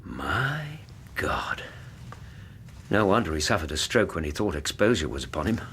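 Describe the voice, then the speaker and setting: An actor playing a decent Victorian gentleman companion in a radio drama, with an English accent, reacting in quiet exclamations. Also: low voice, expressive, normal speed, a little hiss or hum. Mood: astonished. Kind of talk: period radio drama acting.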